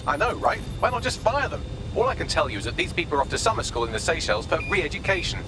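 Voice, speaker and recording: An actor voicing a cheeky, sarcastic British artificial intelligence assistant, glib and quick to mock, in a video game. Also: male voice, a little high, very animated, noisy background. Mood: breezy sarcasm.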